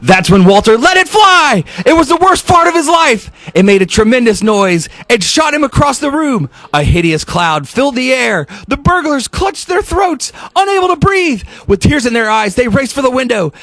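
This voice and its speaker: A man's voice: very high voice, sing-song, normal speed, hardly any pauses, a bit bright, no echo. A man who works as a radio host reads a storybook aloud in a playful, mock-dramatic way, with off-the-cuff asides.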